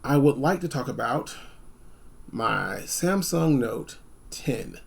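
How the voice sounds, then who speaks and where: A man hosting a casual, unscripted gadget review, talking in an animated, emphatic way and repeating phrases for effect. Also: medium pitch, takes it slowly, creaky, breathy edge. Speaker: product reviewer hosting a video.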